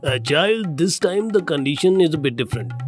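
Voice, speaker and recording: A scheming landlord from a children's fable, played by a man, bossy and condescending. The voice is high for a man and very animated, over soft music. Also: fairly quick, lightly acted. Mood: bossy, annoyed.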